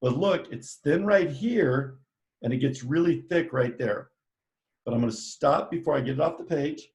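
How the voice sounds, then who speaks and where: A man's voice: fairly high pitch, moderate pace, a little mellow. Upbeat art teacher guy, joking around, walking through each step of the drawing. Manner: upbeat, playful, step-by-step instructions. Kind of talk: live online drawing lesson.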